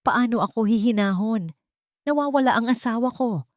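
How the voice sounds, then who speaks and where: A woman's voice: a little high, normal speed, no room sound, clean studio sound, pitched above the performer's own voice, natural voice. A woman voicing a panicked, arrogant rich husband in an audio love story, urgent and distraught. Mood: desperate.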